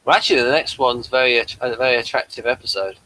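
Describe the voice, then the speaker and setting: Guy hosting a casual review, mid pitched voice, mild n understated, bit halting. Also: sharp tone, rough recording. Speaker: host of a review show.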